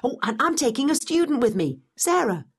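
A woman playing a lively, knowledgeable teacher who guides a student through the past in a scripted educational audio drama, chatty and explanatory. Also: sits high, fairly quick, husky, full character voice. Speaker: narrator and guide.

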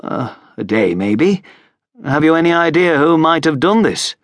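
A man's voice: a little high, big pitch swings, a little slow, dry recording, voice pushed up. A man voicing a hearty, plain-spoken, hospitable short broad lord in an audiobook, with a bluff, informal manner. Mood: bluff, welcoming. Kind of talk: audiobook character voice.

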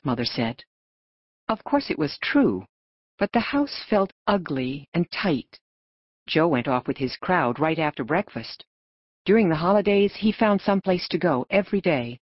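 Woman reading a story audiobook, low voice but very expressive, dry slightly dull sound. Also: dry recording. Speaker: audiobook narrator. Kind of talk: scripted story narration.

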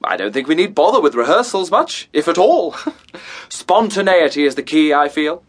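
Pompous am-dram director: high-pitched, widely swinging delivery, grand theatrical enunciation, comic radio drama, some background noise. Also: male voice, sing-song, moderate pace. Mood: breezily dismissive. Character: pompous, self-important amateur theatre director, a man.

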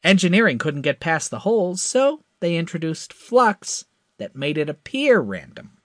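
A man voicing Crash, an excited, slightly nervous young tech whiz. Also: dry recording, studio quality. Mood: eager. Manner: excited, quick, pitched up.

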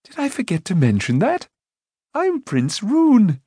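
A man's voice: high-pitched, huge pitch swings, unhurried, mellow, breathy edge, dry recording, studio quality, voice pushed up, exaggerated voice. A man voicing a cheerful, scatterbrained young prince who chatters in a breezy way. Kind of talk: audiobook character voice.

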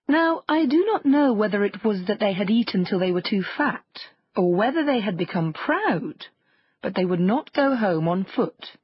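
A woman narrating a children's fairy tale audiobook in an expressive storytelling way. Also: mid-range voice, breathy edge, no echo, highs cut off.

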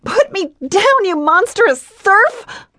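A woman voicing a proud, defiant young noblewoman warrior bride who talks haughtily and turns shrill when she shouts. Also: huge pitch swings, measured pace, dry recording, clean studio sound, exaggerated voice. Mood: shrieking.

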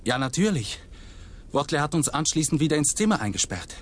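A man's voice: a little high, sing-song, fairly quick. An actor playing an anxious, guilt-ridden young man in a radio drama, explaining himself earnestly. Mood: shaken, explaining. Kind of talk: German radio drama acting.

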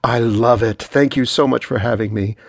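A man's voice: medium pitch, steady tone, moderate pace, no echo, close to an everyday voice. A man voicing a flustered, smitten young woman in an audiobook, awkward and eager. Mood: eager, grateful. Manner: awkward, eager, self-conscious.

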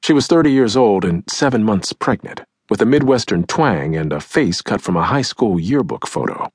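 A man reads scripted nonfiction in a husky voice on the low side. His delivery is measured and dramatic, with a widely moving pitch that gives each phrase shape. He is close to the microphone. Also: animated, no room sound. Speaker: audiobook narrator. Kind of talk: scripted nonfiction audiobook narration, acting out quotes. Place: close, dry recording studio.

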